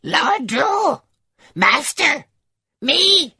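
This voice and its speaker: Shrill cackling cave creature, gravelly and swooping, ranting with mad glee. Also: male voice, big pitch swings, extremely slow, bright, forceful tone, full character voice. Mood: gloating rant. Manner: ranting, gloating. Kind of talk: audiobook character voice.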